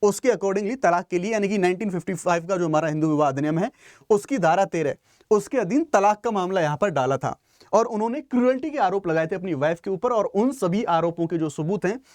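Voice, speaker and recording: A man's voice: high-pitched, animated, fairly quick, darkish tone, dry recording, clean studio sound. A man teaching exam students in an explanatory lecture, didactic, rephrasing his points and mixing in English terms. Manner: didactic, asks and answers own questions, repeats and rephrases points; mixes English terms into speech.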